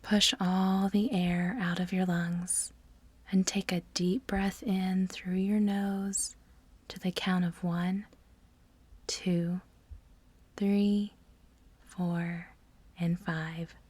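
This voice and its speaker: A woman narrating a guided meditation in a soft, breathy, near-whispered voice, soothing and measured, with deliberate pauses between phrases. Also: fairly low pitch, little pitch movement.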